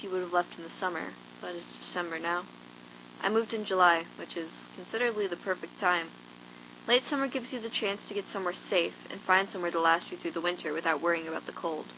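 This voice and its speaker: A woman performs a hardened survivor of a zombie apocalypse dictating a log for an audio drama. Her voice is slightly low yet bright and forceful. She instructs bluntly and matter-of-factly, with a touch of condescension, over a faint noisy hum. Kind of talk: scripted monologue as a survival log.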